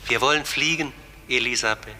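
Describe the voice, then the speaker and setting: A man playing a gentle, mysterious talking raven in a radio play, speaking in sparse, solemn, cryptic phrases. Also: mid-range voice, brisk. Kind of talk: German radio play acting. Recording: long reverberant hall, music behind.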